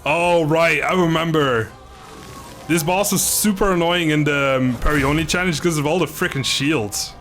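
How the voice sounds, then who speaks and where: A man's voice: a little high, moderate pace, fairly bright tone, faint music behind. A man doing casual live game commentary, chatting informally with viewers, joking and swearing mildly. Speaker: game streamer.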